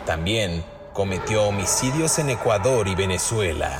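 A man hosting a true crime podcast, narrating in a dramatic, measured way and chatting with his co-host. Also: lowish voice, lively intonation, loud music behind the voice.

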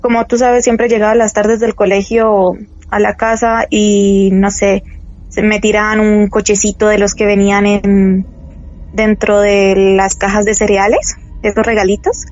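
A young woman telling a true spooky story in a hesitant, animated way, full of fillers. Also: mid-range voice, measured pace, muffled recording. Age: young adult. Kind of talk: casual storytelling.

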